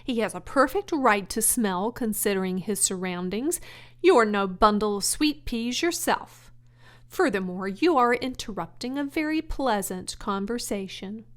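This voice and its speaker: A woman's voice: mid-range voice, lively intonation, a little slow, close to an everyday voice. A woman voicing a wise, kindly female spider in a children's storybook, matter-of-fact and articulate. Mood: sharp, scolding.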